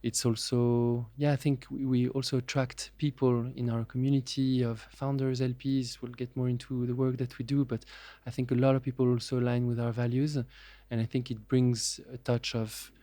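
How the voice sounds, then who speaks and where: A man in his thirties speaks as a podcast guest. His delivery stays level, with little rise and fall. The tone is mellow, and he pauses often to gather his thoughts. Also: mid-range voice, flat delivery, no room sound. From France. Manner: measured, reflective, with frequent pauses and fillers. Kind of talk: casual interview.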